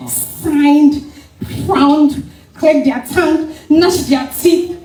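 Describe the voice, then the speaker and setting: A woman's voice: high voice, warm tone, heavily acted, rough recording. A woman with a Ghanaian accent acting a defiant, exasperated wife and mother in a dramatized stage reading. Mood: defiant, amused. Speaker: stage actor.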